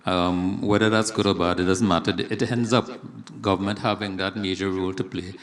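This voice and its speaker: A man with a fairly low voice speaks up at a conference session. He is earnest, with a self-deprecating touch, and pauses often with "um". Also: fairly even intonation. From Trinidad and Tobago. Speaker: conference audience participant. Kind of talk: conference remarks. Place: large conference hall.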